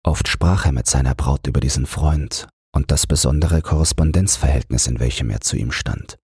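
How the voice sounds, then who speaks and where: A man narrating literary prose as a calm, measured bedtime audiobook. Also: extremely low pitch, husky, no echo, clean studio sound.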